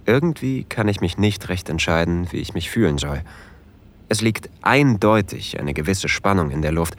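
An actor playing an introverted, easily flustered train traveler who tells of a romantic encounter in an intimate audio drama. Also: male voice, low-pitched, lively intonation, normal speed. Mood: intimate, longing.

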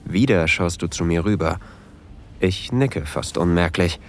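Very deep-voiced, introverted man played by an actor. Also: measured pace, a little hiss or hum. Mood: intimate, longing. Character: introverted, flustered male train traveler narrating a romantic encounter. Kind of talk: intimate audio drama narration and dialogue.

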